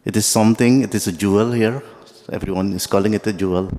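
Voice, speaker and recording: A low-pitched man, Dutch his first language, speaks English haltingly at a ceremony, pausing on fillers and restarting phrases. His voice rings out with a long hall reverb. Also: steady tone, a little mellow. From Suriname. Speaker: guest speaker. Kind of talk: ceremonial speech.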